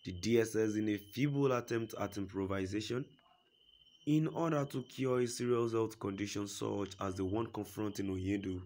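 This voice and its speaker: Guy reading news out loud, lowish voice, steady and formal. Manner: steady formal reading, quotes a statement at length. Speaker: news reader. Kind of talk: read-aloud news report.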